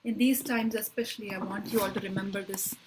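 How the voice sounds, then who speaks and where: A woman leading a live online spiritual workshop, talking in an earnest, emphatic way with slow, deliberate phrasing that often trails off softly. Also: medium pitch, fairly even intonation, noisy background.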